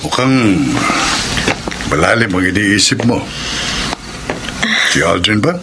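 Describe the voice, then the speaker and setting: A man acting a gentle, cautioning older father in a Filipino radio melodrama, measured and advising. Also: fairly low pitch. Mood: concerned, cautioning. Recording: noisy radio drama soundtrack.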